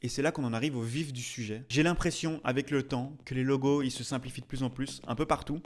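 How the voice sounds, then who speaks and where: A man's voice: mid-range voice, steady tone, fast talker, a little hiss or hum. A chatty man hosting a video with jokey, casual commentary and theatrical, mock-indignant asides. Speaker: YouTube host, tattoo artist. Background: music behind the voice.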